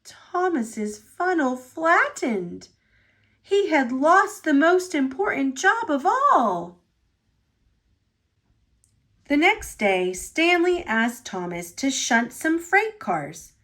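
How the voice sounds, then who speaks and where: A woman reading a children's storybook aloud as narrator, with expressive delivery. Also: sits high, extremely slow. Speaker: storybook reader.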